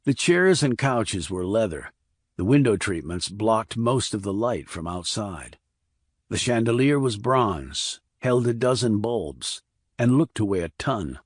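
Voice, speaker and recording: A man with a low voice narrates a thriller audiobook. His delivery is measured and descriptive, and the sound is dry and close. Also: very animated, no room sound.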